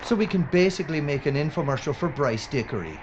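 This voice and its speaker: A man's voice: a little high, expressive, fast, close to an everyday voice. A man playing a sarcastic, whiny, wisecracking documentary presenter in an audio drama. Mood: grumbling. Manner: grumbling, sarcastic. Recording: muffled, clearly noisy outdoor ambience.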